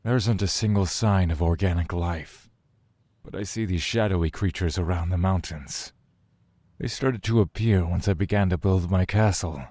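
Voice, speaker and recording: An actor playing a brooding, aloof god in an audio drama, wary yet curious, with formal, archaic phrasing. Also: male voice, low voice, normal speed, mellow, dry recording. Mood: aloof, unafraid.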